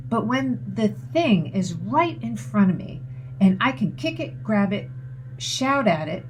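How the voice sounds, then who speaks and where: A woman giving an expressive read-aloud of a children's novel. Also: on the high side, rough recording. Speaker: author reading aloud. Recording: noticeable background noise.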